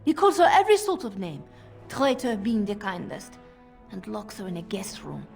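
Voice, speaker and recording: An actress playing an anxious, loyal royal handmaiden with a careful, earnest manner. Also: female voice, mid-range voice, huge pitch swings, normal speed, creaky, soft background music. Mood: worried.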